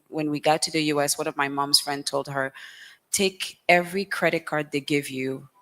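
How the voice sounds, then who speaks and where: A woman with a low, deep voice talks into a handheld microphone on a conference stage. She explains things steadily and carefully, with frequent ums. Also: fairly bright tone. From Haiti. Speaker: fintech founder and CEO. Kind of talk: panel conversation on stage.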